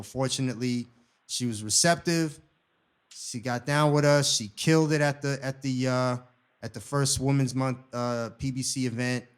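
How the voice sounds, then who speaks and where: A man hosting a podcast in casual, conversational interview style, using relaxed hip-hop slang. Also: medium pitch. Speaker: podcast host.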